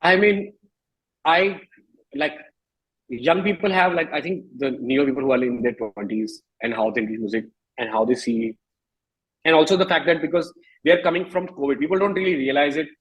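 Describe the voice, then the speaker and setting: A man's voice: on the high side, moderate pace, slightly rough, low-quality recording. A man in his thirties with an Indian accent, a musician speaking casually and emphatically in an interview, repeating phrases for stress. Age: 30s.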